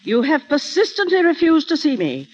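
A woman's voice: sits high, huge pitch swings, moderate pace. An imperious, scornful queen mother. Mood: stern reproach. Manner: formal, biting. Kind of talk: 1930s-40s radio drama acting. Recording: dull vintage radio recording.

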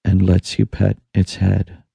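A deep, very dark and mellow male voice reads a guided meditation. He speaks in hushed, soothing phrases with long pauses between them. The tone is heavily husky and breathy. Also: monotone, no room sound, clean studio sound. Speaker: audiobook narrator. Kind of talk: guided meditation, scripted narration.

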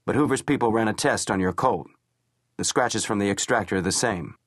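A man's voice: fairly low pitch, fairly quick, dry recording, studio quality, pitched above the performer's own voice, lightly acted. Man doing a blunt naval commander. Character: gruff middle-aged male naval intelligence commander. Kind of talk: audiobook character voice.

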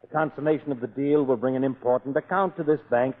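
An actor playing a formal, measured banker in a 1940s radio play. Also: male voice, medium pitch, fairly even intonation, close to an everyday voice. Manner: stiff and formal. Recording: tinny 1940s radio broadcast.

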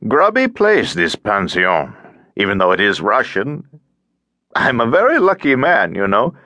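A man's voice: on the low side, huge pitch swings, measured pace, sharp tone, dry recording, full character voice. A man voicing a cheerful, tiresome, garrulous elderly Russian émigré in an audiobook, droning on with over-familiar chattiness. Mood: cheerful, rambling. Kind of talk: audiobook character acting.

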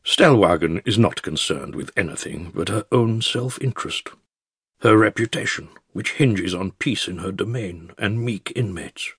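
An actor narrating an audiobook diary as a wry, opinionated elderly male care home resident, with a husky, gravelly voice and an expressive, theatrical delivery. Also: low voice, a little slow, no echo, studio quality, heavily acted. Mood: drily amused.

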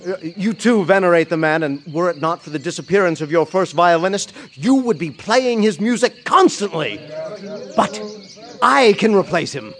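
An actor playing a poor, joyful young German musician in an audio drama, rapturous and declamatory. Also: male voice, high-pitched, expressive, normal speed, slight background noise. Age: young adult. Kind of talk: dramatized audio play acting.

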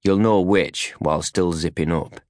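A man's voice: deep voice, moderate pace, a little mellow, no room sound, studio quality. A man reading scripted fiction as an audiobook narrator, in terse, clipped phrases. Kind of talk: scripted first-hand fiction narration in second person. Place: recording studio.

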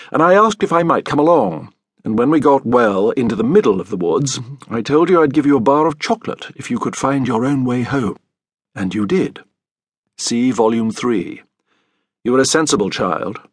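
A man's voice: medium pitch, breathy edge, dry recording, studio quality. An actor playing a worldly, roguish older uncle with a British accent, reading his letter in an urbane, droll audiobook style. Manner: urbane, droll, florid phrasing.

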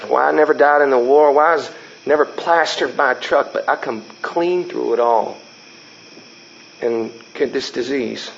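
A man playing a gentle ballplayer. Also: medium pitch, gravelly, muffled recording, a little hiss or hum, natural voice. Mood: musing. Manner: plain, halting country talk. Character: slow, gentle Southern ballplayer, dying young man. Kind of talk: radio drama acting.

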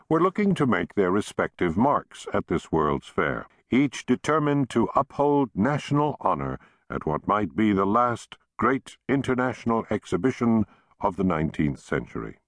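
Male audiobook narrator, very deep voice, lively varied pitch, measured and expressive delivery, dry studio sound. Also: no room sound. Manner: measured, expressive storytelling reading.

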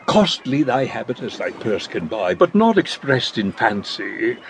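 Slow, pompous old father. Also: medium pitch, big pitch swings, slight background noise. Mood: fond, sententious. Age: older. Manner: pompous, halting, sententious advice-giving. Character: fussy, rambling old father, a pompous royal counsellor. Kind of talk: Shakespearean audio drama acting.

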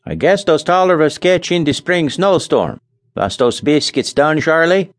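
A man voicing a slow, solemn Scandinavian immigrant farmer who speaks halting broken English with a Scandinavian accent. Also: on the high side, expressive, pitched above the performer's own voice. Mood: plain, solemn.

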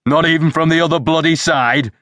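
A man's voice: fairly low pitch, fast talker, a bit bright, no room sound, close to an everyday voice. A man voicing a fat, sarcastic, blustering senior police detective in an audiobook. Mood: angry, bellowing. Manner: bellowing, sarcastic, coarse. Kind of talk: audiobook character dialogue.

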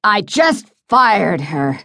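A woman's voice: medium pitch, big pitch swings, drawn-out words, sharp tone, no room sound, pitched above the performer's own voice, exaggerated voice. A woman voicing a bitter, sarcastic, sick old father in an audiobook. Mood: irritated, demanding. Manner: bellowing, sarcastic. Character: sick, cantankerous, bitter old father, wealthy Southern man.

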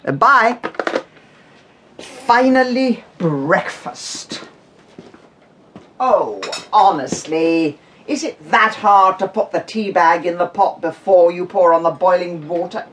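A woman's voice: on the low side, slow pace, a bit bright, slight background noise. An actress playing an acerbic, impatient middle-aged British woman in a radio drama, clipped and sarcastic. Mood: exasperated.

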